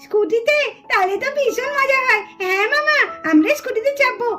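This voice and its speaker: A woman voicing eager, playful small children in a puppet cartoon, pleading and repeating themselves excitedly. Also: extremely high pitch, a little fast. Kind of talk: sing-song children's puppet cartoon acting.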